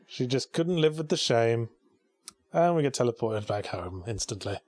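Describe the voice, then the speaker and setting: A man's voice: medium pitch, expressive, unhurried, no echo. A man giving sarcastic, joking video game commentary alongside a co-host. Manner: sarcastic, sardonic.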